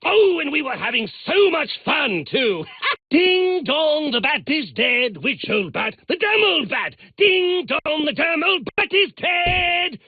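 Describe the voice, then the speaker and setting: A grinning clown villain who taunts at a slow, savoring pace, his voice leaping from shrill highs to deep purrs. He cackles and mocks with theatrical relish. The sound is thin and phone-like, with game music behind. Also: big pitch swings, exaggerated voice. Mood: gleeful menace. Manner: theatrical, cackling, wildly swinging pitch, mocking. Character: manic grinning male clown villain, gleeful and sadistic. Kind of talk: video game voice acting.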